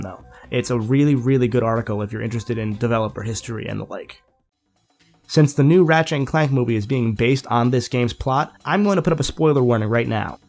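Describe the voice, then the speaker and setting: A young man narrates a scripted game review at a mid pitch. His voice sounds a little nasal and congested as he gets over a sinus infection. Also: darkish tone.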